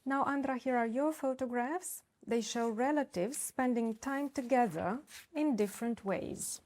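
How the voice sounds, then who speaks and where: A woman acting as a speaking exam examiner, reading set questions in a measured, formal way. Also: on the high side, a little mellow.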